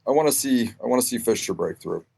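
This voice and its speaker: A man's voice: mid-range voice, animated, fast, gravelly, darkish tone, breathy edge. A man giving casual sports analysis as a guest on a podcast.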